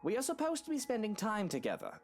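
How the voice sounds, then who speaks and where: An actor playing an affectionate, genteel husband in a visual novel game, fond and theatrical. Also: male voice, high-pitched, wildly swooping pitch, quick pace, faint music behind, heavily acted. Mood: fond, concerned. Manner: fond, theatrical, gently teasing.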